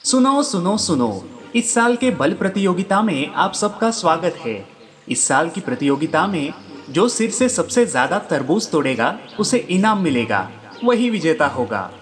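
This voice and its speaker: A man's voice: sits high, big pitch swings, measured pace, voice pushed up. A man voicing a village crier, calling out proclamations in a declamatory way for a cartoon story. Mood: proclaiming. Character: loud village crier soldier proclaiming, adult male.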